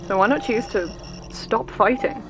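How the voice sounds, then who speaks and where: Earnest sci-fi subordinate played by a woman: higher-pitched, direct, uneasy, with a score underneath. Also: moderate pace, over music, lightly acted, rough recording. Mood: urging. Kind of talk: sci-fi horror audio drama acting.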